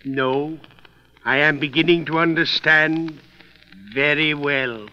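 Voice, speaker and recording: An actor playing a deferential, quick-witted French police subordinate in 1930s radio style. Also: male voice, a little high, steady tone, over loud music. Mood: knowing. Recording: muffled, noisy old 1930s radio recording.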